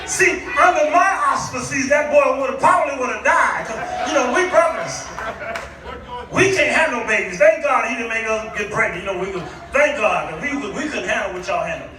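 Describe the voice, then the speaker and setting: A middle-aged American man preaching a humorous, animated storytelling sermon, often throwing questions out to his congregation. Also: very high voice, moderate pace, crowd noise. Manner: animated, declamatory, often calling on the listeners with questions. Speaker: guest preacher. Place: large echoey room with a congregation. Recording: echoey hall, distant mic, audience noise.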